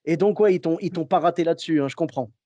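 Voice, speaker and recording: A man's voice: on the high side, quick pace, mellow, no echo. A man hosting a casual podcast interview, hesitant and searching for words as he talks. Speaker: podcast host.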